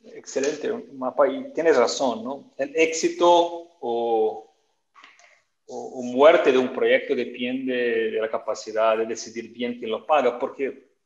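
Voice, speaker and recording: A man's voice: medium pitch, fairly even intonation, slow, a little mellow, reverberant, muffled recording. A man moderating a conference panel, hesitant and repeating words as he asks questions.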